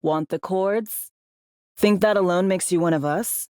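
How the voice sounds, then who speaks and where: An actress playing a tough, bossy, wry spy handler in a cyberpunk video game, with clipped, slangy, teasing delivery. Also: female voice, lowish voice, a little slow, darkish tone, no room sound, clean studio sound, natural voice. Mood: probing, serious. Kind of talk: video game voice acting, briefings and radio guidance.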